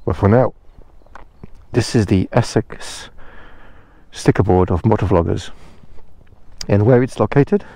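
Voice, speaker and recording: Man vlogging outdoors, low mellow voice, rambling and self-deprecating, dry close sound. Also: a little slow, gravelly, pauses often, no echo. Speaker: motorcycle vlogger. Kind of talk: casual vlog talk to camera. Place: outdoors by a roadside phone box. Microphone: head-mounted action camera.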